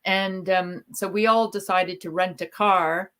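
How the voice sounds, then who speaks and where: Woman, middle-aged, low-ish voice, rambling livestream host with lots of ums. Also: fairly even intonation, a little slow, a bit bright. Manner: chatty, rambling storyteller with many ums. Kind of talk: casual chat on a livestream.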